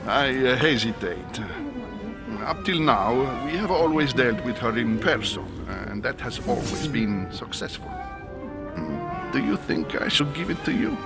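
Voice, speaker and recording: A man's voice: medium pitch, animated, unhurried, vocal fry. Grave secretive priest in a game, formal n fatherly, lots of rise and fall, music under it. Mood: hesitant, solemn. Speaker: actor in a narrative adventure game. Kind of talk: cinematic game dialogue. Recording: game dialogue over background music.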